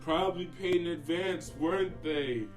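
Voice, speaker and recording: A man's voice: a little high, moderate pace, faint music behind, close to an everyday voice. A man playing an indignant, self-justifying hired assassin in a comic radio play, earnest and complaining. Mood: grumbling.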